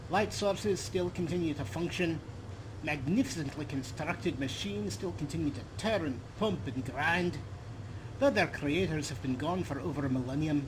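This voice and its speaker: A man's voice: a little high, lightly acted. A man reading a game journal aloud as an earnest, fussy fantasy scholar, formal and emphatic. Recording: clear background noise under voice.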